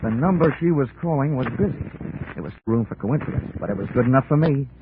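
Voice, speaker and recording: A man's voice: mid-range voice, fast, very noisy, natural voice. Actor as a tinny-radio hard-boiled male detective. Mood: deadpan narrating. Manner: wry, deadpan. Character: wry, hard-boiled male insurance investigator. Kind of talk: 1940s radio detective acting and narration. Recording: tinny old radio broadcast, music behind.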